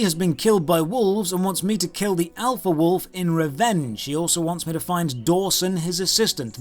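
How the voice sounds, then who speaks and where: A man delivering a scripted comedic video game review in a sarcastic, mock-incredulous manner. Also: high-pitched.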